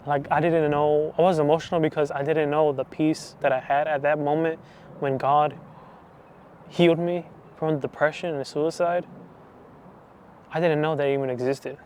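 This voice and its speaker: Young man, 20s, testimony speaker; soft, hesitant, monotone delivery over faint noise. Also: a little high, normal speed. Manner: soft, hesitant, full of restarts and fillers. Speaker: channel host giving testimony. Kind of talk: personal faith testimony.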